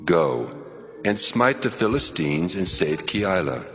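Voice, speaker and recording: God voice for bible drama, super deep, solemn, commanding, music behind. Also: male voice, sing-song, takes it slowly, fairly bright tone, slightly rough, heavily acted. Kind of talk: dramatized audio Bible acting.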